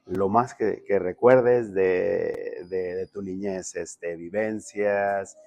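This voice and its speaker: A podcast host from Mexico with a low, mellow voice, recorded close and dry. He asks friendly questions and often restarts his words as he talks. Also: fairly even intonation, drawn-out words, creaky, no echo. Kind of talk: casual interview.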